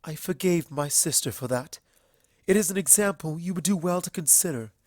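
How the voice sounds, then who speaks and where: A man voicing a calm, sorrowful, regal pony princess in a storybook reading, soft and measured. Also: a little high, dry recording, clean studio sound, voice pushed up, natural voice. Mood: firm, dignified. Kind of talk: dramatic fan fiction character reading.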